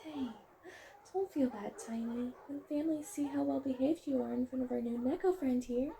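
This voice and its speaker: A woman playing a friendly, wholesome tomboy dog girl who runs a kennel, talking in a gentle, encouraging, chatty way in a roleplay audio. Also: sits high, normal speed, vocal fry, a little hiss or hum. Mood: gentle, reassuring. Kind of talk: roleplay audio, acted one-sided conversation.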